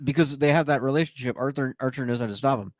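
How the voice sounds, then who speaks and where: A man's voice: medium pitch, fast talker, no room sound, studio quality. A man hosting a podcast, chatting casually and joking, with frequent fillers. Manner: playful, jokey, many fillers. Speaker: podcast host. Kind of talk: casual chat.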